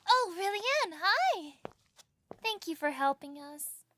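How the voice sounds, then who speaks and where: An actress playing a bubbly, friendly young castle worker in an audio drama, in an exclaiming manner. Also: female voice, very high voice, very animated, slow pace, fairly bright tone, slight background noise, heavily acted. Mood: cheerful.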